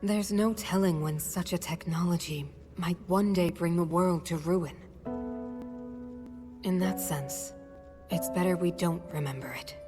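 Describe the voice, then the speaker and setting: A grave, composed noble lady voiced by a woman from a fantasy game, low and formal. Her calm voice rings in a long reverb over music. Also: measured pace, natural voice. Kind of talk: scripted video game cutscene acting.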